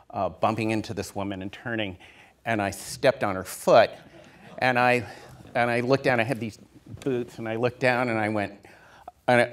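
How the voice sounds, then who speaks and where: A man in his fifties, a production designer on a stage panel, telling stories with many fillers and restarts into a handheld mic. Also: fairly low pitch. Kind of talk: casual storytelling on a panel. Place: theater stage before an audience.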